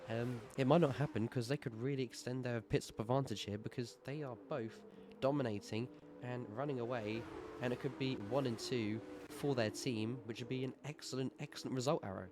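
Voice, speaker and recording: A man with a sore throat giving brisk live play-by-play commentary on a sim race, rising in excitement at overtakes. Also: medium pitch, background noise.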